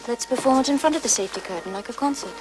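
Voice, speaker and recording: A woman's voice: on the high side, expressive, racing, natural voice, poor audio. An actress playing an earnest female opera singer, persuasive in a film drama. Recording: film soundtrack with music behind.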